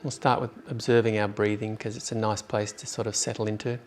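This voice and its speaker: A man leading a guided meditation in an informal, unhurried way with long pauses. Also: fairly low pitch, little pitch movement, a little hiss or hum.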